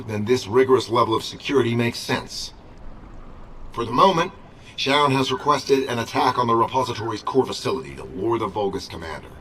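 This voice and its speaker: An actor playing a formal male military commander in a video game, delivering deliberate intelligence reports. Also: medium pitch, fairly bright tone, a little hiss or hum, lightly acted. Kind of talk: scripted game dialogue.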